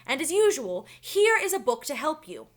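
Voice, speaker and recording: A woman voicing a wise enchantress in a children's storybook, giving instructions in a formal, deliberate, commanding way. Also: sits high, very animated. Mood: solemn, instructing.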